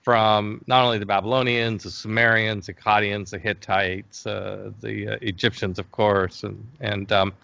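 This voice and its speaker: Halting, creaky-voiced man in casual conversation. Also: on the low side, level tone, pushed and bright, highs cut off. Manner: hesitant, halting, enthusiastic. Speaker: interview guest, game creator. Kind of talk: casual interview talk, storytelling.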